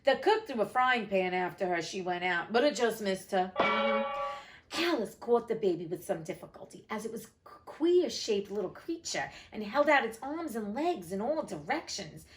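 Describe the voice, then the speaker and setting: A woman's voice: medium pitch, very animated, normal speed, faint music behind. A woman narrating a storybook theatrically, with casual, irreverent asides. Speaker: host reading a classic novel aloud.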